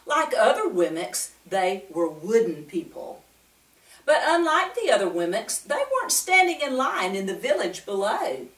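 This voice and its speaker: A woman's voice: mid-range voice, huge pitch swings, fairly bright tone. Older woman, lilting children's storyteller, roomy sound. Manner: asks the listeners questions and pauses between phrases. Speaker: host of a children's Bible story show. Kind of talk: gentle children's story time, speaking directly to young listeners. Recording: roomy, echoing, distant microphone.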